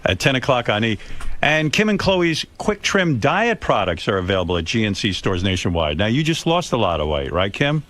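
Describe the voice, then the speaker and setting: A man's voice: lowish voice, big pitch swings, normal speed, fairly bright tone. A man hosting a radio interview, asking blunt, teasing questions. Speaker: radio host.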